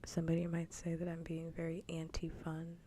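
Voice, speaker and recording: A woman streamer chatting casually and with opinions in a soft, breathy whisper, close to an ASMR microphone. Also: low-pitched, monotone, fairly quick, slight background noise.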